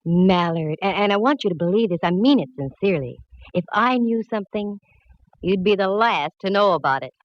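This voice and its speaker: An actress playing a shrewd, wisecracking female private eye in a 1940s radio detective drama, wry and brisk. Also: mid-range voice, lively intonation, natural voice. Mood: mock sincere. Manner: wry, brisk, hard-boiled. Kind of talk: 1940s radio detective acting with first-person narration. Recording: dull, noisy vintage radio recording.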